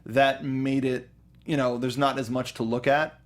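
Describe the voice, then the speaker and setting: A man's voice: medium pitch, level tone, a little slow. A young man co-hosting a casual movie review chat, rambling with many restarts and fillers. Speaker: podcast co-host.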